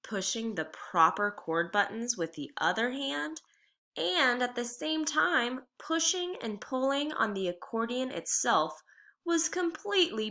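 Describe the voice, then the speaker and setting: A woman reading a children's memoir aloud like a teacher, with expressive, deliberate storytelling delivery. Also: on the high side.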